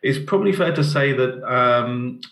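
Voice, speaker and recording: A middle-aged man with an English accent, a managing director giving a conference talk over a video call, halting and often repeating his words. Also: medium pitch, vocal fry, fairly bright tone. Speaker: managing director of partner company. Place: office in Cape Town. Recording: roomy echo.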